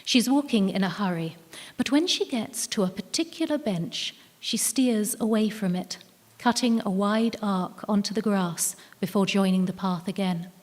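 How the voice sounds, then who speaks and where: A woman's voice: mid-range voice, moderate pace. Woman reading a story in an echoey hall. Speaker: reader of a short story. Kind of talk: literary story reading, first-person narration. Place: live event venue, indoors. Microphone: stage microphone. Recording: echoey hall at a live event.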